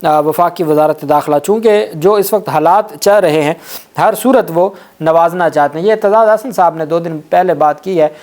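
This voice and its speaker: A man's voice: a little high, normal speed, a little mellow. A man with a Pakistani accent, speaking as a legal commentator and explaining court news.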